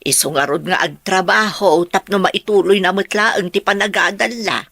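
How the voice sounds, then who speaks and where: A woman's voice: mid-range voice, moderate pace, creaky, fairly bright tone, no echo, clean studio sound. A woman acting a worried, practical mother in an Ilocano radio drama. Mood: insistent.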